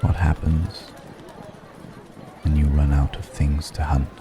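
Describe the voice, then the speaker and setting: A man playing a mysterious, philosophical ancient dragon disguised as a stranger, speaking in an intimate ASMR roleplay with long, pensive pauses. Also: rumbling low, little pitch movement, background noise, exaggerated voice. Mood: quietly reproachful. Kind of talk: ASMR roleplay, slow intimate dramatic monologue.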